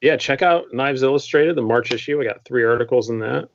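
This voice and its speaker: A man's voice: mid-range voice, fairly quick, dull audio, slight background noise. A man in his thirties, a guest on a casual podcast, talking in a matter-of-fact way with dry teasing humor. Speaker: podcast guest, comedian and knife writer.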